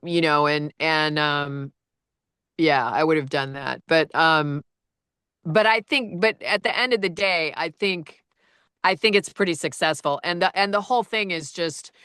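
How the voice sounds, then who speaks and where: A woman audiobook narrator with a low-register voice, chatting casually and rambling with lots of fillers and restarts. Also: unhurried, fairly bright tone, clean tone, dry recording, studio quality. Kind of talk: casual conversation.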